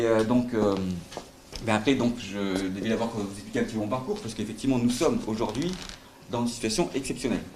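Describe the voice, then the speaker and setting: A man's voice: fairly low pitch, darkish tone. A middle-aged man with a southern French accent, giving a sales talk to an audience, with many "euh" and "donc" fillers. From France. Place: conference room with an audience. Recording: echoey room with faint noise.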